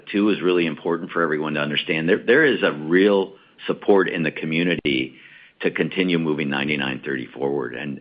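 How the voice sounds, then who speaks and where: A man speaking as a company executive, answering questions on an earnings call in an assertive way with rhetorical questions. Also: deep voice. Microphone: conference call line. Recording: slightly dull conference-call audio.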